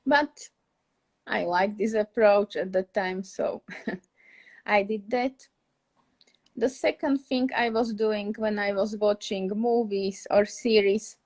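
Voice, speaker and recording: A woman's voice: medium pitch, a little mellow. A young woman from Slovakia with a Slovak accent, casually teaching English on a live stream, chatty and laughing often as she searches for words.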